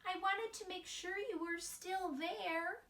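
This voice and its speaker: Woman voicing a high-pitched, fretful little girl mouse. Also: steady tone, slow, pushed and bright, heavily acted. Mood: anxious. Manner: fretful, questioning. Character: anxious little girl mouse, fretting over everything. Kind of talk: picture-book read-aloud character voice. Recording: roomy, distant microphone.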